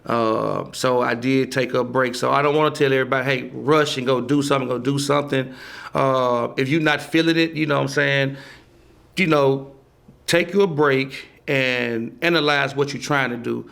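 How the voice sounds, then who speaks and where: A man's voice: mid-range voice, steady tone, normal speed, vocal fry, a bit bright, smooth. A man in his forties, a playwright guest on a podcast, talking loosely and emphatically with lots of "you know" and easy laughs. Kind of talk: casual conversation.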